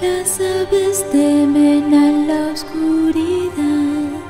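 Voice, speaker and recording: Woman as slow, high-voiced eerie vampire seductress. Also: fairly even intonation, loud music behind the voice. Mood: eerie, haunting. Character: eerie, seductive female vampire singing a dark lullaby. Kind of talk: fan dub acting, slow lullaby singing.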